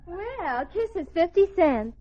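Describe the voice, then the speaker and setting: Gushy flirty lady customer, high pitched, muffled 40s radio w crowd. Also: sing-song, takes it slowly, warm tone, heavily acted. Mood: gushing. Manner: gushing, coy. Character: gushing, man-hungry woman customer at kissing booth. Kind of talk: 1940s radio comedy acting. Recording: muffled, noisy old radio recording, studio audience.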